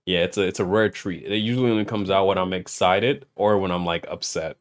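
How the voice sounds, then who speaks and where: A man's voice: low-pitched, steady tone, brisk, background noise. A man hosting a podcast, chatting casually with a guest and laughing often. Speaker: podcast host.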